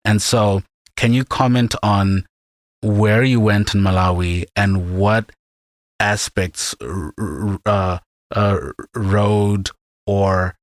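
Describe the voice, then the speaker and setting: A man from Zimbabwe hosting a podcast, asking questions thoughtfully and haltingly, with restarts as he frames them. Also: lowish voice, steady tone, no echo, clean studio sound. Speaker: podcast host. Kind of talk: casual interview questions.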